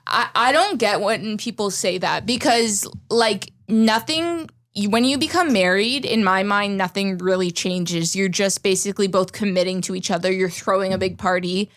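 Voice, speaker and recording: A woman's voice: mid-range voice, normal speed, few pauses. A woman in her twenties on a podcast, talking casually and emphatically, with many fillers. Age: 20s. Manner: animated, filler-heavy, emphatic. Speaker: podcast co-host. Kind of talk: casual chat.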